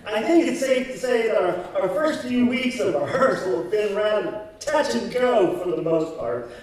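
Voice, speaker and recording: Man playing a very high, gushing theater director. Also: lively intonation. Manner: grandiose, gushing, halting. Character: effusive, theatrical community theater director, ex-drinker. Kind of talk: old-time radio comedy acting before a live audience. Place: community center stage, live performance. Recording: noisy, very echoey hall, far from mic.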